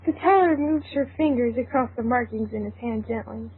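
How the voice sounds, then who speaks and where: A woman's voice: a little high, brisk, slightly rough. A woman reading scripted narration for an amateur fan fiction story. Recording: thin phone-like sound with background noise.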